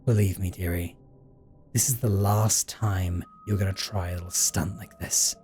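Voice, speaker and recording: A man playing a possessive, coldly mocking vampire in a dramatic roleplay monologue, with a condescending, theatrical delivery and a husky, breathy voice. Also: low-pitched, steady tone, moderate pace, darkish tone, slight background noise, close to an everyday voice. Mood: angry, scolding.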